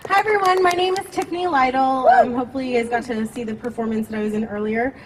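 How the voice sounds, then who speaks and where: A bubbly woman lecturer introduces herself with an upbeat spirit, her pitch somewhat high. Faint hiss hangs over the outdoor campus recording. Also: fast. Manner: bubbly, enthusiastic, laughing. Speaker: lecturer, panelist, performer. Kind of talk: upbeat panel self-introduction.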